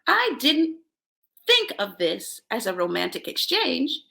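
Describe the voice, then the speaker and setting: A woman from Jamaica hosting a self-help show, giving motivational talk and personal stories in an emphatic, expressive way, repeating key words for effect. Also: fairly high pitch, takes it slowly, vocal fry.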